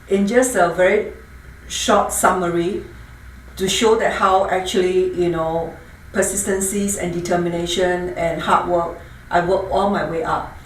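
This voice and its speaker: Guest spot: older woman from Singapore, lower voice, earnest storyteller, distant mic. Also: reverberant, slight background noise. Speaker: business owner guest. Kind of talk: interview.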